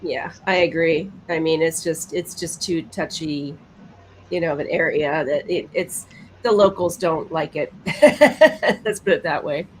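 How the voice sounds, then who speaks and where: Lower-pitched woman host, chatting casually. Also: a little slow, slight background noise, poor audio. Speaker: podcast host. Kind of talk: casual conversational reactions and commentary.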